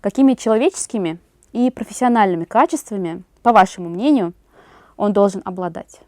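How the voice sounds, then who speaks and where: Dark, mellow-voiced woman interviewer. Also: mid-range voice, a little fast, no echo, studio quality. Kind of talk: prepared interview questions.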